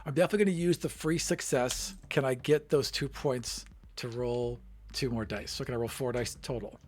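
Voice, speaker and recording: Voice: medium pitch, steady tone, moderate pace. A player in a tabletop role-playing game, chatting casually.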